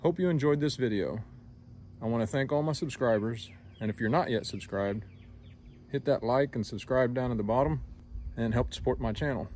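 A man's voice: medium pitch, moderate pace, a little mellow, slight background noise. A middle-aged man in a casual fishing vlog, talking easygoing and self-mocking to a camera held at arm's length, outdoors by a boat on the water. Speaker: fishing vlogger.

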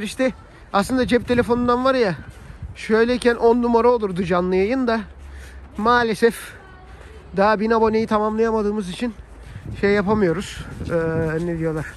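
A man chats casually and colloquially to his viewers as a family vlogger. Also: high voice. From Turkey. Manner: chatty, colloquial, addresses viewers as friends. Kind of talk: casual vlog chat to viewers. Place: outdoors on a snowy city street. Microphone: handheld phone while filming. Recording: outdoor phone audio, faint street noise.

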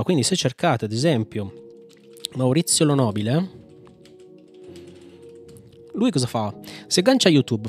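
A man from Italy hosting a casual software walkthrough, chatting informally and thinking aloud as he tries commands. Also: mid-range voice. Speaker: tech tutorial host. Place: indoor room at a computer, screencast with small webcam.

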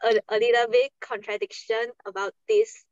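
A woman's voice: fairly high pitch, flat delivery, normal speed, clear, resonant tone, no echo. Young malaysian woman on a call, hesitant, lotsa "um", muffled dry sound. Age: young adult. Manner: hesitant, simple phrasing with "um". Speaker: student guest. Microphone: video call. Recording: dull dry video-call audio.

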